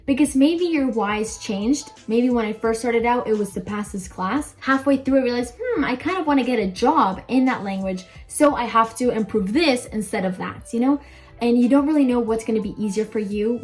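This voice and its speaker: A woman's voice: on the high side, a little mellow. A young woman giving casual advice to viewers as a language-learning video host, chatty, informal and emphatic. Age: young adult.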